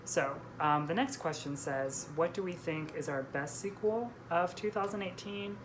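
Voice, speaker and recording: A woman's voice: fairly low pitch, normal speed. A young woman in a casual book chat with a friend, hosting in a hesitant, self-correcting way. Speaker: book vlogger, host reading out questions. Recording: clearly noisy room recording.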